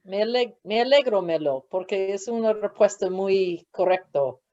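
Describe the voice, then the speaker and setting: A woman's voice: mid-range voice, lively intonation, normal speed, dark tone. A woman making casual conversational remarks over muffled video-call audio.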